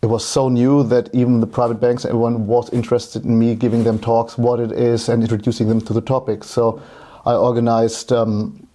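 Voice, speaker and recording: A man's voice: medium pitch, flat delivery, a little fast, dark tone. A man in his thirties with a German accent, telling his story casually as an interview guest. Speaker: podcast guest, entrepreneur.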